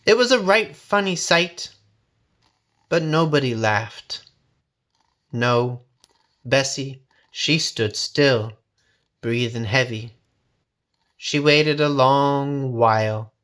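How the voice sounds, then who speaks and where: A man reading a folksy first-person story aloud in a dramatic, expressive, suspenseful way. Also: mid-range voice, slow pace, long pauses. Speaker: storybook reader.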